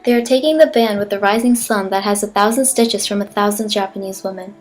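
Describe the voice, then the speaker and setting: A woman's voice: mid-range voice, a little fast, close to an everyday voice. A woman playing an earnest, patriotic wartime newsreel narrator, reading a formal script in a declarative way. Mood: earnest, solemn. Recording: music behind the voice. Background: music.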